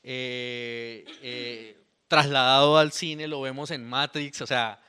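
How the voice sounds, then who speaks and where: Animated young man, rambling. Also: mid-range voice, slow pace, clean tone. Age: young adult. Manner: rambling, animated, with fillers and restarts. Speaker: author presenting his poetry book. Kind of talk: casual talk at a book presentation.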